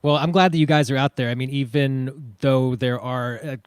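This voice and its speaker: A man hosts in a casual, conversational way. His voice sits at a medium pitch, with a very dry sound. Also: steady tone, moderate pace, clear, resonant tone, dry recording. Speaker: festival livestream host. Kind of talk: casual chat.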